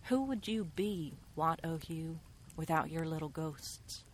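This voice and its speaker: Radio drama role: a worldly, coolly knowing female mystic, lowish voice, eloquent, deliberate and theatrical. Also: expressive, a little hiss or hum. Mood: coolly probing.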